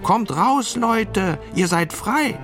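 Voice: mid-range voice, expressive, drawn-out words, music in the background, voice pushed up. A storyteller voicing a plucky, impatient young female sheep who wants to be a pirate, bossy and blustering. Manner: bossy, blustering, calls out loudly.

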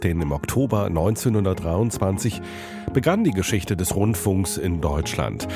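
A man hosting a podcast, reading an outro with direct, informal address to listeners. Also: deep voice. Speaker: podcast host. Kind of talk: scripted podcast sign-off.

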